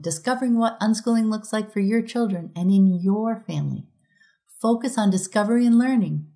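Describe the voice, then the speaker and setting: Middle-aged female host, measured reflective read of a prepared talk, mid-range pitch. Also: a little mellow. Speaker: podcast host giving a conference talk.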